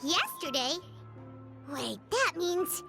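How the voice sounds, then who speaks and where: A woman voicing a small, excitable, childlike floating companion in a video game, with a squeaky voice. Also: slow pace, creaky, heavily acted. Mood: surprised, alarmed. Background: music.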